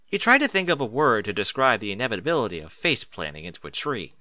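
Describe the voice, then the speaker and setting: Bright, expressive male story narrator. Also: medium pitch, fast talker, dry recording. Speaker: audiobook narrator of fan fiction.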